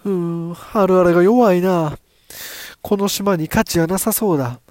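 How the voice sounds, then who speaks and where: A man's voice: high voice, moderate pace, darkish tone, a little hiss or hum, close to an everyday voice. A man playing an arrogant, threatening aristocrat invader who talks in pompous, archaic lordly phrases. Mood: haughty.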